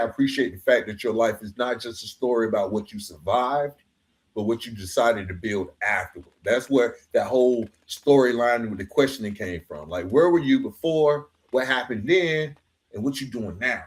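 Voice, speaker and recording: A man hosting a podcast in a casual interview style, affirming his guest and peppering his talk with informal, buddy-like address. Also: medium pitch. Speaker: podcast host.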